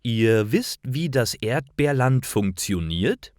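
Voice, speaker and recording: A man voicing a matter-of-fact old woman who runs a farm, clear and instructive, in a storybook for learners. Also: medium pitch, animated, slow, a little mellow, dry recording, studio quality. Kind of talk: storybook reading for language learners.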